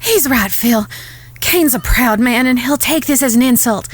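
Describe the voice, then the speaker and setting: A woman's voice: a little high, moderate pace, close to an everyday voice. A woman playing a proud, composed Old West ranch owner who speaks firmly in a Western audio drama. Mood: serious.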